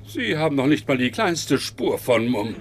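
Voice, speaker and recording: An actor playing a stern, pompous, scornful company boss in a dubbed sitcom, speaking formally. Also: male voice, medium pitch, big pitch swings, normal speed, very noisy, close to an everyday voice. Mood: disdainful. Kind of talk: German-dubbed sitcom acting.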